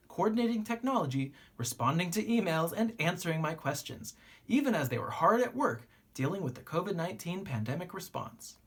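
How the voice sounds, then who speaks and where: A woman reading a children's book aloud like a teacher, with deliberate phrasing and expressive pauses. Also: on the low side, breathy edge.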